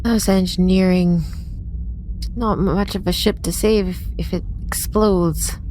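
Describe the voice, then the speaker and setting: A woman's voice: on the low side, fairly even intonation, unhurried, dark tone, slight background noise. A woman chatting casually as a player in a tabletop roleplaying game.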